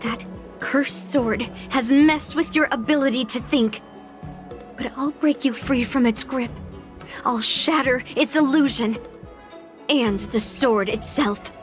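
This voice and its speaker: Blunt, stubborn young swordswoman for a game; raised pitch, forthright delivery, faint score behind. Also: slow, faint music behind. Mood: resolute, defiant. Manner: forthright, insistent. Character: headstrong, blunt young female sword fighter. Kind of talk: dramatic video game voice acting.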